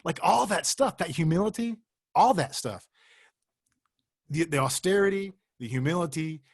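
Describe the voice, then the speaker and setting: A man talking as a guest in a conversation, heartfelt and animated, with exclamations. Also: on the high side, normal speed, husky. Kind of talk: casual conversation.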